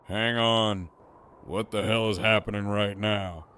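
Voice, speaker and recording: An actor playing a mocking, foul-mouthed middle-aged antagonist with a sardonic, needling drawl in an audio drama. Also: male voice, on the low side, steady tone, a little slow, sharp tone, slight background noise. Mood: incredulous.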